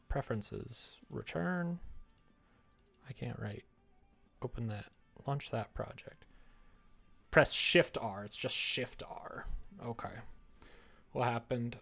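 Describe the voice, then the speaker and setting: A man's voice: medium pitch, vocal fry. A man livestreaming his development work, thinking aloud casually and muttering to himself in short fragments. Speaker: livestreamer developing a visual novel.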